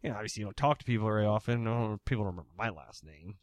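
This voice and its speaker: A man's voice: lowish voice, expressive, rapid-fire, dry recording. A man hosting a podcast in casual, joking banter, laughing often. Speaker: podcast host. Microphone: studio mic.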